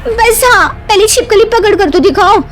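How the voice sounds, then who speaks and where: A woman's voice: extremely high pitch, moderate pace, some chatter behind, a little hiss or hum, voice pushed up. A woman playing a timid, argumentative young daughter-in-law in a cartoon comedy, whining melodramatically. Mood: nervous. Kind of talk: Hindi cartoon comedy story acting.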